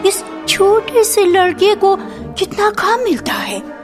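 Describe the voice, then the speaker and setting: A woman's voice: very high-pitched, sing-song, takes it slowly, voice pushed up, full character voice. A woman playing a doting, anxious motherly woman in an earnest, emotional radio drama style. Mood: fretful concern. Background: music.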